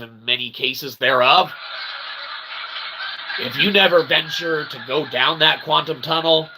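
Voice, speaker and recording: A man hosting a philosophy monologue, speaking in wordy pseudo-academic phrases with slangy asides and a gravelly, creaky voice. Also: mid-range voice, pushed and bright, a little hiss or hum, rough recording. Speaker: host of an independent philosophy show.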